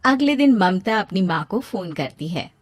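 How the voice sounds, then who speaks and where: A woman's voice: medium pitch, sing-song, moderate pace, no echo, clean studio sound. A woman narrating a scripted moral story as its storyteller.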